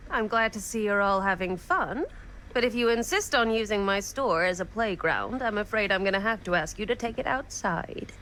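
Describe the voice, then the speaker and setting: A woman playing a composed antique shop owner in a video game, with a dry, polite, measured delivery. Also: medium pitch, expressive, a bit bright, slight background noise, lightly acted, poor audio. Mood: dry, chiding. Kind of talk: naturalistic video game acting.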